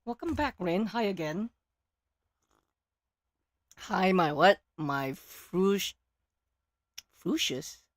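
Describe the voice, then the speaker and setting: A game livestreamer with a hoarse, partly lost voice, chatting casually and excitably with viewers. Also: medium pitch, drawn-out words, long pauses, dry recording. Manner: excitable, exclaiming greetings to chat. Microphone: close microphone.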